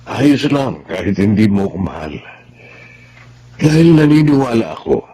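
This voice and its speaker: An actor playing an earnest older man pleading for marriage in a Tagalog radio drama, with a husky voice. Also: on the low side, big pitch swings, unhurried, a crowd in the background.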